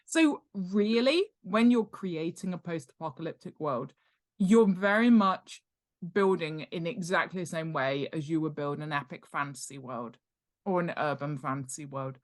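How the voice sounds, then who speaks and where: A woman's voice: sits low, normal speed. Woman explaining things informally and emphatically. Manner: animated, emphatic, checks in with "right?" and "okay?". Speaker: guest author and world-building expert. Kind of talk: informal explanatory interview talk.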